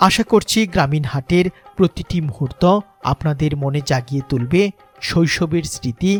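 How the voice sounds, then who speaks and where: Male narrator, Bangladeshi accent, fairly high voice, lyrical sentimental documentary read with light music behind. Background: background music.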